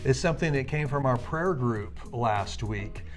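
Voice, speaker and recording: A man preaching with enthusiasm, reading a Bible passage slowly and emphatically. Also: mid-range voice, animated, faint music behind. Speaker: minister.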